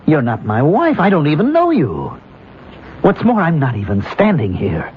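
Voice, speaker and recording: A man playing a bewildered, disoriented husband of about forty in a radio drama, halting and earnest. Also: fairly high pitch, huge pitch swings. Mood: bewildered, insistent. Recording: tinny, noisy vintage radio recording.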